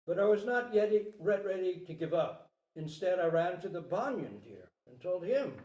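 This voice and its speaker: A man's voice: sits high, very animated, normal speed, a little mellow. A man voicing a grateful, devoted mother doe telling her tale in a storybook parable, earnest and emotional. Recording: roomy, echoing live recording.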